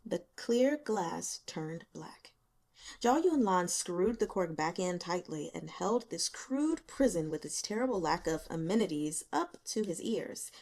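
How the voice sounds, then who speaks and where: Expressive woman narrator with animated pitch. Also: unhurried. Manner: expressive, dramatic. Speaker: audiobook reader. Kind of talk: dramatic scripted story narration.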